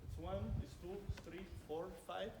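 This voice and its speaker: A man's voice: high-pitched, moderate pace, vocal fry. A young Italian man with an Italian accent, giving a chatty winery tour outdoors and piling up "very" for emphasis. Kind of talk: guided tour talk to a group. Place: outdoors in a stone village street. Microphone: phone camera recording from within the crowd. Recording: distant phone audio with loud outdoor noise.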